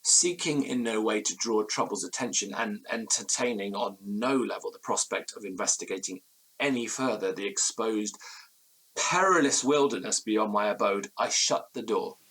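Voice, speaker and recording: An actor playing an anxious old-fashioned gentleman, recounting a ghostly ordeal in formal, florid, theatrical language for a Gothic audio drama. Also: on the low side, unhurried, husky, low-quality recording. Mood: uneasy, measured.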